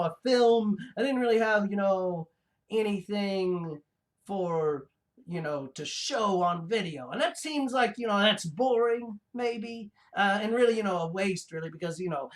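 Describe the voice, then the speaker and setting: A performer puts on a gleefully deluded mad scientist hosting a comedy show. The delivery is slow and halting, wandering off into asides. This villain cackles and savors dreams of revenge on the world. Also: medium pitch, close to an everyday voice. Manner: halting, hesitant, prone to cackling. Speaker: show host. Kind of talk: rambling comic in-character monologue.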